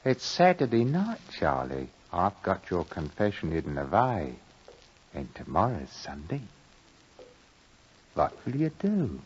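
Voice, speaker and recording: Brooding dramatic narrator, ominous and insistent, husky, slow delivery for vintage radio drama. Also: male voice, low voice, wildly swooping pitch, heavily acted. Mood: taunting, ominous. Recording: muffled vintage radio recording.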